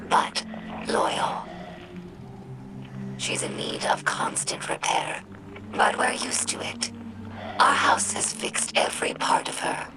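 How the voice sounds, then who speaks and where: A woman voicing an earnest young alien scribe in a video game log, speaking gently and carefully in a whispery, creaky voice. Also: mid-range voice, lightly acted, poor audio. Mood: fond, reflective. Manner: gentle, careful, storytelling delivery. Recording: voice over game music.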